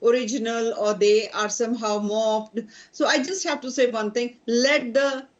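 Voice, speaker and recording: A woman with an Indian English accent, a party spokesperson on a debate panel, insistent and listing her points with halting fillers. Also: on the high side, steady tone, lo-fi sound.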